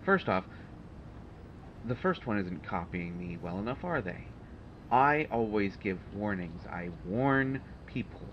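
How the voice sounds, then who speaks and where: A man's voice: fairly low pitch, animated, a little slow, highs cut off, a little hiss or hum. A man playing a haughty, prickly supervillain mastermind in an audio roleplay, speaking in formal, condescending, exasperated phrases. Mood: exasperated, lecturing. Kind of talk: audio roleplay acting addressed to the listener.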